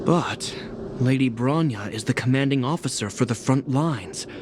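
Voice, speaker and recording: An actor playing a stern, duty-bound young guard captain in a video game, speaking in a formal, commanding, solemn military way. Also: male voice, medium pitch, lively intonation, normal speed, noisy background, close to an everyday voice. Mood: solemn, resolute. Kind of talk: dramatic video game voice acting.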